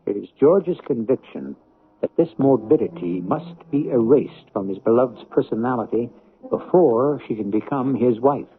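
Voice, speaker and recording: A man narrating as the grave host of a 1970s radio mystery, deliberate and formal. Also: mid-range voice, gravelly. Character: grave mystery-show host telling the story. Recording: muffled phone-like old radio broadcast.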